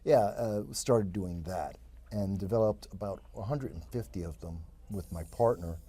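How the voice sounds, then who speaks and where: Fifties New Yorker, interview guest, husky and loose, full of restarts. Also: male voice, sits low, normal speed, dark tone. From the Bronx, New York. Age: 50s. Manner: rambling, frequent fillers and restarts. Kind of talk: casual interview talk.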